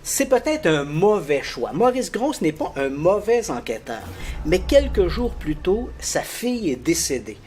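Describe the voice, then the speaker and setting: A middle-aged man explaining a strange case as a guest, telling it like an animated storyteller who chuckles easily. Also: measured pace, soft background music. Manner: animated, lively storyteller with frequent pitch jumps. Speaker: guest expert on paranormal cases.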